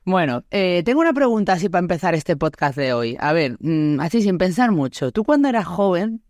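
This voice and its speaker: A woman's voice: on the low side, big pitch swings, a little fast, a little mellow, no room sound, clean studio sound. A woman in her thirties with an Andalusian accent from Málaga, hosting a podcast in a chatty, laughing way full of tag questions. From Andalusia, Spain. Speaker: podcast host. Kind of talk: casual chat.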